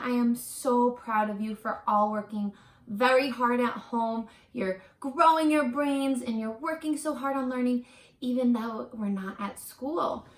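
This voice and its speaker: A woman reads a children's picture book aloud in a slow, very bright voice, like a teacher speaking straight to her pupils. She is expressive and encouraging, stopping for little questions and asides. The room adds a noticeable echo. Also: on the high side. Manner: encouraging, expressive, talking directly to young children. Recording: roomy echo.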